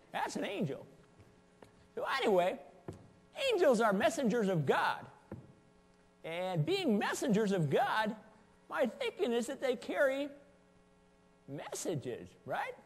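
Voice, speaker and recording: Folksy old shepherd, high voice swooping widely, sassy and excitable, comic stage monologue in an echoing hall. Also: male voice, slightly rough, full character voice. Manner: rambling, playful asides, scolding and chuckling. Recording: long echoing hall reverb.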